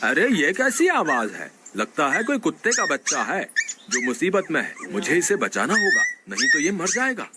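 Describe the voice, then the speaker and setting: A kindly village farmer from a moral-story cartoon, acted by a man. His voice sits fairly high and swings widely as a caring husband would in a simple animated tale. The track is clearly noisy. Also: moderate pace. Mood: surprised, concerned.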